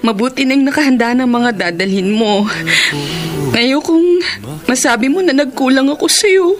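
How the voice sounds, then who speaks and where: An actress in a radio drama playing a devoted woman who speaks softly, pleading and close to tears. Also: sits high, moderate pace, lightly acted. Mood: tender. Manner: soft, pleading, tearful. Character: Alice, a devoted woman ready to let go. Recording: radio drama with music behind.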